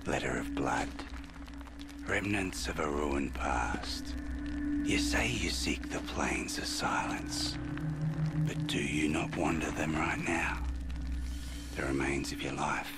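An actor playing a cryptic, mystical seer who speaks in hushed, whispered prophecies, in a video game cutscene. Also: male voice, rumbling low, slow pace, long pauses. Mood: ominous. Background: loud game score music.

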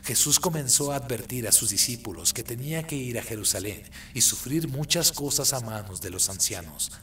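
Male radio drama narrator, mid-pitched, scripted storytelling over faint ambience. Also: expressive, normal speed, pushed and bright, a little hiss or hum.

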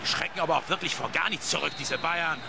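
A man's voice: fairly high pitch, fast, vocal fry. A man dubbing football footage for comedy in a brash, mocking way over stadium noise. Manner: brash, mocking, many put-on voices. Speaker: comedy dubber. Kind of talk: comic dub of football footage.

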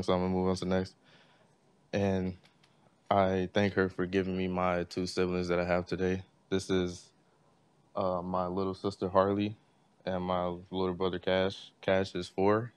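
A young man giving a class tribute speech, halting and full of fillers. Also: deep voice, a little mellow, smooth, no room sound. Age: young adult. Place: library classroom.

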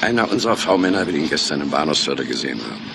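Very deep, gravelly police detective. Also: male voice, big pitch swings, racing, noisy background, heavily acted. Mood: grave, confiding. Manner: sardonic, curt. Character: gruff, cynical hardline male police detective. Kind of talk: radio crime drama acting.

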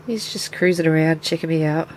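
Woman, nasal, stuffy cold, chatty show-and-tell, lowish voice, camera mic at home. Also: brisk, a little mellow, slight background noise, poor audio. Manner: chatty, explains as she handles the animals. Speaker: pet keeper showing her animals. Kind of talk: casual show-and-tell vlog. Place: indoors at home, by a terrarium.

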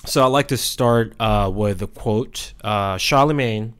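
A man's voice: on the low side, measured pace, fairly bright tone, clean tone. A man in his thirties from Korea, a podcast co-host talking thoughtfully with many fillers into a desk microphone in a studio. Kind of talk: casual podcast conversation. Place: studio room. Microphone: studio mic on desk stand.

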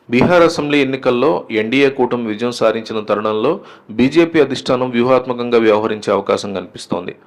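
A man delivering scripted political news commentary in a formal presenter's manner. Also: mid-range voice.

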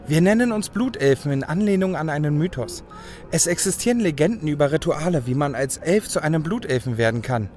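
A man's voice: fairly high pitch, lively intonation, brisk, darkish tone, faint music behind, natural voice. An actor playing a cynical, proud young male hacker turning elf in an audio drama, brooding and self-assured. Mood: earnest, visionary. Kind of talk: German audio drama acting.

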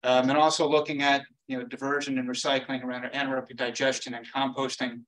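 Man, conference presenter, conversational, frequent fillers, podium mic, lecture room, mid pitch. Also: flat delivery, fast, fairly bright tone, low-quality recording. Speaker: industry presenter.

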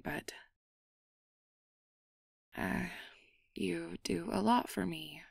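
Awkward changeling girl played by a woman for asmr rp, slowish n hesitant, lots of vocal fry, flustered, acts guarded but secretly soft hearted. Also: lowish voice, no echo, studio quality, full character voice. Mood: guarded, tender. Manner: hesitant, flustered, stammering, downplaying. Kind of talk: ASMR roleplay acting.

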